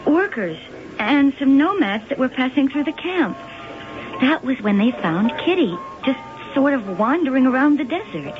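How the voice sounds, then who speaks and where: An earnest young woman in a vintage radio drama. Her voice is high and swoops expressively. The sound is dull and aged. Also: big pitch swings, moderate pace, music in the background. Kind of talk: old-time radio drama acting.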